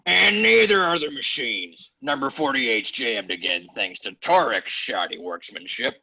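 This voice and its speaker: A man playing an imposing, stern basilisk mine foreman with a gruff, raspy voice and curt manner in a sci-fi table read. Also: medium pitch, animated, unhurried, pushed and bright, exaggerated voice. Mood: stern, annoyed. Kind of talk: video-call table read of sci-fi fan fiction. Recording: dull, lo-fi video call audio.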